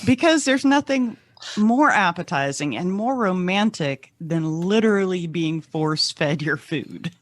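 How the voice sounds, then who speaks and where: A woman's voice: fairly low pitch, lively intonation, measured pace, no room sound. A woman chatting casually and wryly as a podcast co-host. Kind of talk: casual wry panel chat.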